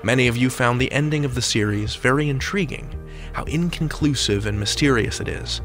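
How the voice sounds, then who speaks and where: A man hosting a podcast with scripted narration in dramatic, measured phrasing. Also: medium pitch, fairly bright tone, soft background music. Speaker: podcast host.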